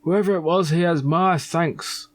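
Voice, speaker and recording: Man putting on a high-pitched pompous factory manager. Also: fairly even intonation, unhurried, slight background noise, lightly acted. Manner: haughty, grumbling. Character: pompous, dismissive factory manager in an adventure game.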